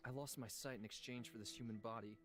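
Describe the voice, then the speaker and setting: Quiet, gentle ageless shapeshifter played by a man. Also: mid-range voice, fairly even intonation, natural voice. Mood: calm, wistful. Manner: quiet, measured. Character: calm, gentle, centuries-old blind bird shapeshifter in human form. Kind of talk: visual novel character acting. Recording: music behind the voice.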